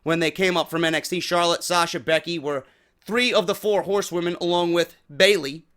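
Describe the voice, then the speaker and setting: A man hosting a wrestling news show, giving blunt, profane opinions and getting loud when worked up. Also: fairly high pitch, steady tone, fairly quick. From New York.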